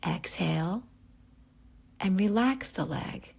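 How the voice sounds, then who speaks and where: A woman guiding a yoga nidra relaxation, giving calm, gentle, unhurried instructions with pauses. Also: medium pitch, vocal fry, slightly rough. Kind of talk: guided relaxation meditation.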